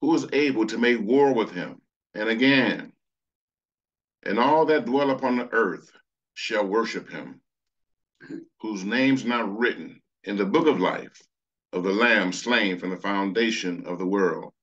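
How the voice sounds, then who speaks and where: A man's voice: lowish voice, a little slow, dull audio. A man preaching a sermon over slides, earnest, reading scripture passages aloud. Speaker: pastor.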